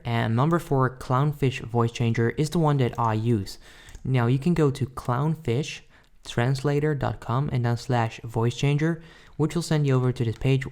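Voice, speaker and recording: A man narrating a casual software tutorial, talking informally and directly to viewers as he gives instructions. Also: medium pitch. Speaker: tutorial host.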